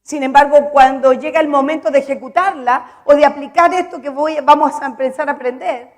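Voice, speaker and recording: A woman preaches in a high voice and builds emphasis by repeating her lines. She stops to ask her listeners whether they follow her. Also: steady tone, brisk. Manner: emphatic, repeats phrases, asks the congregation questions. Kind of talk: sermon.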